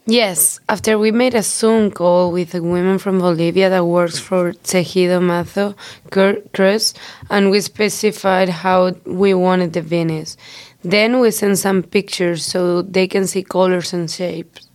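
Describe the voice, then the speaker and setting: A woman's voice: lowish voice, steady tone, measured pace, darkish tone. A young woman from Spain, a student guest explaining a project carefully from prepared notes, with small slips. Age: young adult.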